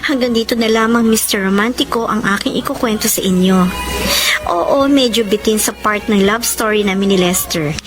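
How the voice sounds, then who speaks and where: An actress playing a warm, grateful separated mother in a Filipino radio drama, with a Filipino accent. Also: mid-range voice, normal speed, over music, close to an everyday voice. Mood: tender, hopeful. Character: warm, grateful separated mother telling her love story. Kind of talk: Filipino radio drama acting and letter narration.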